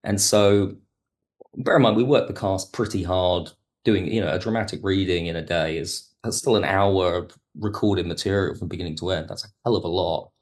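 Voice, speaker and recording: A man speaking as a producer and guest in an interview, reflective, halting and rephrasing as he explains. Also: low-pitched. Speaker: audio drama producer and writer, podcast guest. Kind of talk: reflective interview talk about production work.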